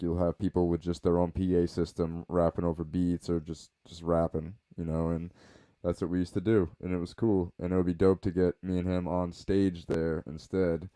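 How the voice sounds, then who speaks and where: A man talks low and easy, the way you do when someone is sleeping nearby. His voice sits deep and the sound is dry and close, with no room around it. He rambles casually, as if thinking aloud. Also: dark tone, clear, resonant tone, no room sound. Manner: rambling, laid-back, talking low. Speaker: podcast host. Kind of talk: casual reflective chat. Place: home, baby sleeping nearby.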